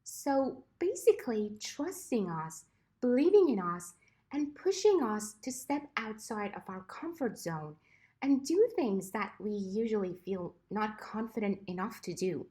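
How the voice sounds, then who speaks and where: A woman giving a fluent, prepared answer as a podcast guest, moving through her points in measured phrases. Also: mid-range voice, big pitch swings, warm tone. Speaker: podcast guest, former mentee. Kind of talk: prepared answer, read smoothly.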